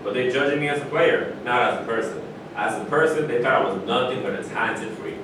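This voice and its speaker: A man in a staged play reading, playing a candid, opinionated basketball legend with deliberate, emphatic pauses. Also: lightly acted. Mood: wounded, firm. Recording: very echoey distant room, rumbling noise.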